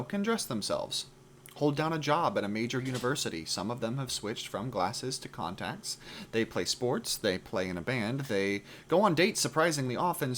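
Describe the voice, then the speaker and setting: A man acts out a geeky, vulnerable guy in a theatre monologue, low-voiced and earnest. He is hesitant and self-deprecating yet eager as he asks someone out. The recording has some background noise. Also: lightly acted. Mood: defensive, earnest. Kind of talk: monologue read-through.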